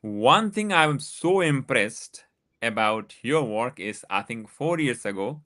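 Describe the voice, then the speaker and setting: A man with a Nepali accent hosting a live-streamed talk show, halting over his words with many uhs as he asks questions. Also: mid-range voice, lively intonation, bright, forceful tone. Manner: halting, with many uhs, switches between languages.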